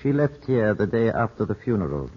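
An actor playing a courteous, evasive Italian lawyer in a formal, measured 1950s radio drama style. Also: male voice, lowish voice, very animated, slightly rough. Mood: grave, composed. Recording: muffled, scratchy 1950s radio broadcast.

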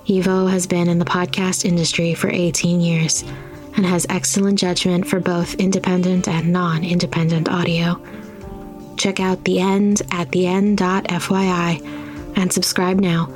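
A woman's voice: lowish voice, darkish tone. A woman podcast host delivering a scripted sponsor message to listeners. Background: music.

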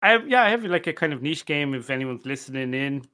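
A man on a podcast panel chatting casually, teasing and halting as he repeats himself. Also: medium pitch, lively intonation, sharp tone. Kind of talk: casual banter.

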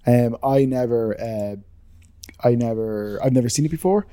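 A man's voice: mid-range voice, normal speed, warm tone, clean tone, no room sound. A man with an Irish accent, co-hosting a podcast in casual, rambling chat that is full of swearing and self-deprecating asides. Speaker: podcast co-host.

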